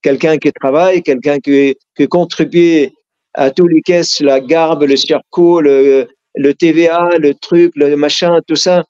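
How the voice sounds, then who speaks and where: A man's voice: on the high side, fairly even intonation, measured pace, darkish tone, smooth, dull audio. A middle-aged man from Syria with an Arabic accent, telling his life story in an interview. He is earnest and often stops and restarts his sentences. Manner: frequent restarts and hesitations, earnest.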